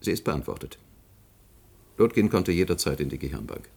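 A man's voice: rumbling low, sing-song, moderate pace, gravelly, a little mellow, heavily acted. An actor playing a firm, formal male expert witness who argues precisely and insistently in a German radio play. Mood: indignant. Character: firm, indignant male expert witness arguing before inquiry.

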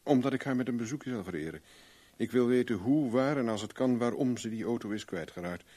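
An actor in a Dutch radio drama playing a wry, flirtatious private investigator with a glib, teasing manner. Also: male voice, medium pitch, lively intonation, a little fast, dark tone, breathy edge. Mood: probing. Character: wry, flirtatious, cool-headed male private investigator for hire.

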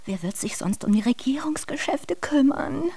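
A woman's voice: high voice, expressive, normal speed, gravelly, mellow, slightly rough, in a whisper, heavily acted. An actress playing an anxious, deferential servant of a queen in a radio play, pleading breathily.

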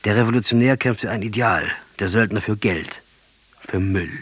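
A fiery guerrilla commander in a radio play, kinda low n raspy, preachy, biting. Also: male voice, normal speed, a bit bright, no room sound. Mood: insistent. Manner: didactic, sardonic, rhetorical storytelling. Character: proud, fervent, argumentative Argentine guerrilla commander facing death. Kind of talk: radio drama acting.

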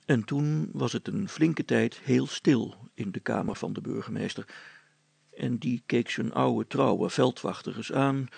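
Male narrator for a children's story: expressive delivery, gravelly mid-pitched voice, no room sound. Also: dry recording.

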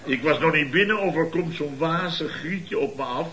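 A man's voice: on the high side, normal speed, a crowd in the background, close to an everyday voice. A man playing a self-pitying, blustery contractor boss in a Dutch radio comedy serial, complaining indignantly in clipped, repetitive phrases. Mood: aghast, mocking.

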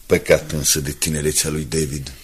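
An actor playing a loyal, kindly newspaper man in a radio drama, speaking in a measured, courteous and emotive manner. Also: very deep voice, little pitch movement, slight background noise. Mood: sorrowful, composed. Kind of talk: 1980s Romanian radio drama acting.

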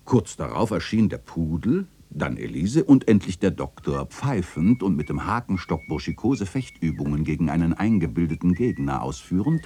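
Literary radio drama narrator: melancholy old man recalling memories, very low, mellow, lively intonation. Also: moderate pace, hardly any pauses, husky, heavily acted. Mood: amused. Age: older. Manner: wistful, expressive storytelling.

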